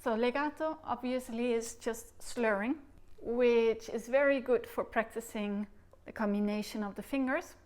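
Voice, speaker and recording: Woman, 30s, Dutch accent, higher voice, recorder teacher, sounds out syllables. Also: a little mellow. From Netherlands. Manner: demonstrates syllables by singing and speaking them slowly. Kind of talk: instructional video lesson. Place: living room, seated on a sofa.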